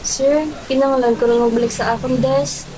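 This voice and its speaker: A woman's voice: high-pitched, fairly even intonation, moderate pace, a crowd in the background, close to an everyday voice, low-quality recording. A woman voicing a young employee who answers politely but uneasily and pleads to be left alone. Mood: uneasy. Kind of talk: student radio drama acting.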